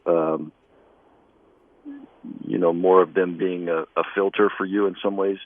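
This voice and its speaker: A man speaking as an interviewed basketball coach, conversational and measured, with halting pauses. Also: deep voice, little pitch movement, no echo, phone-quality sound.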